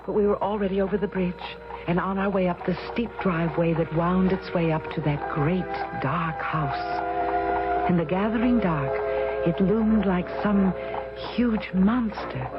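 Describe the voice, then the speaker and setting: An actress as low-voiced, uneasy gothic mystery heroine. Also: female voice, unhurried, natural voice. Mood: dread. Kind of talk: radio drama acting. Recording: dull lo-fi radio recording, music behind.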